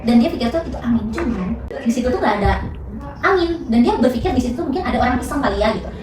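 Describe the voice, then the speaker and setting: A young woman tells a story in a quick, loose, chatty way, full of fillers and restarts. Her voice sits a touch high. She sounds far from the mic in an echoey room, with a crowd murmuring behind her. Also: expressive. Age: young adult. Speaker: student podcast storyteller. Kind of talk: casual storytelling chat.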